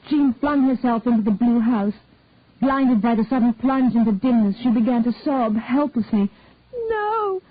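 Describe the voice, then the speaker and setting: A woman reading a detective story aloud in a measured, even way. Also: fairly high pitch, dry recording. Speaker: story reader. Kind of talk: scripted narration of a detective story. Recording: dry, tinny phone-like audio.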